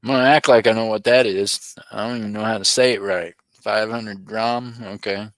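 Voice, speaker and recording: A man's voice: lowish voice, steady tone, normal speed, no room sound. A middle-aged man hosting a live auction stream, chatting and joking with viewers by name. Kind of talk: casual livestream chat.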